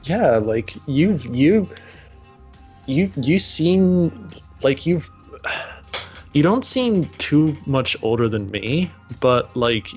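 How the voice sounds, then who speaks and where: Slow-talking, animated young man roleplaying. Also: a little high, breathy edge, highs cut off, faint music behind. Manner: giggly, stammering restarts. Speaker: roleplay player. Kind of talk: casual voice-chat roleplay.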